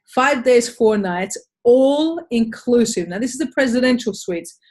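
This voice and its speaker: A woman's voice: fairly high pitch, animated, measured pace, darkish tone. An Australian woman giving a sales presentation in a webinar, upbeat and persuasive, speaking to a webcam from a home office.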